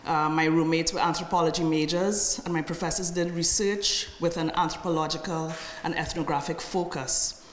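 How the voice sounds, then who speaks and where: A middle-aged Trinidadian woman gives a conference talk in a measured, personal way, checking in with her listeners as she goes. Her voice sits a little low. She is picked up from afar in a roomy lecture hall with long reverb and faint background noise. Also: fairly even intonation. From Trinidad and Tobago. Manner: measured, personal, tags lines with "right?". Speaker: conference speaker. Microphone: podium microphone from a far camera. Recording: echoey hall recorded from afar.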